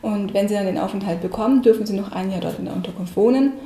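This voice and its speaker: A woman's voice: mid-range voice, quick pace, warm tone. A woman social worker explaining things informally in spontaneous interview answers. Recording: echoey room, faint background noise.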